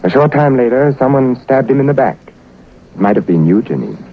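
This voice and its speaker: An actor playing a wry, hard-boiled investigator in a 1940s radio drama, with a cool, sardonic, clipped manner. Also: male voice, mid-range voice, big pitch swings, moderate pace, a little hiss or hum. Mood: cool, probing. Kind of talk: 1940s radio acting with first-person narration. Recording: tinny vintage radio recording with music.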